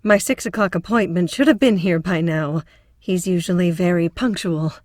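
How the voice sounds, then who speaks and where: Woman playing low-voiced, earnest therapist. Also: moderate pace, a little mellow, slight background noise, natural voice. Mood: nervous, evasive. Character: anxious, assertive feminist woman psychotherapist turned amateur detective. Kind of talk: audio drama acting.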